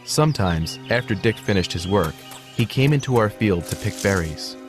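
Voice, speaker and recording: Deep-voiced man as a storytelling horse, music behind. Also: lively intonation, normal speed, close to an everyday voice. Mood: reflective. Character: grown male horse telling his life story, gentle. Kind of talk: scripted storybook narration.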